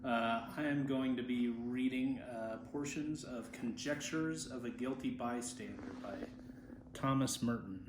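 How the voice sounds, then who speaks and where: Male amateur story reader, mid-range pitch, roomy echoing sound with slight background noise. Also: unhurried. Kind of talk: reading a literary story aloud.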